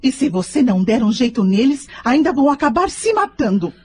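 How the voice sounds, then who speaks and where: A woman's voice: a little high, very animated, brisk, a little mellow, husky. A Brazilian woman playing a frank, exasperated middle-aged mother and politician's wife in a radio drama, articulate and blunt. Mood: weary, exasperated.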